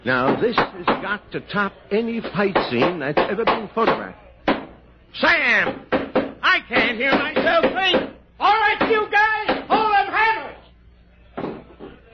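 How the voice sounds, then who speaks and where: An actor playing a flustered, bossy American film director in a 1960s radio thriller. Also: male voice, extremely high pitch, big pitch swings, slow, pauses often, very noisy, full character voice. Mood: exasperated, bellowing. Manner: hesitant, hemming, then loudly bossy. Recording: dull old radio broadcast, busy background din.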